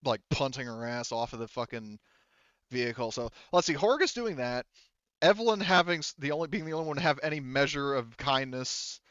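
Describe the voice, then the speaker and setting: A man acts as game master in a loose, conversational style. His voice is smooth and clear, close and dry. He rambles, halts and swears freely as he rules on play. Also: medium pitch, expressive, a bit bright, no room sound, lo-fi sound. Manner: rambling, halting, profane. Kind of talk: casual tabletop game chat.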